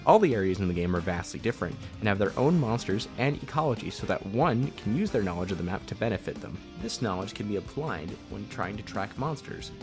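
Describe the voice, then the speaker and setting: A man reading a scripted, comedic video game overview in a sarcastic, profane, irreverent way. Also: on the low side. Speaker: video creator and narrator. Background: instrumental music.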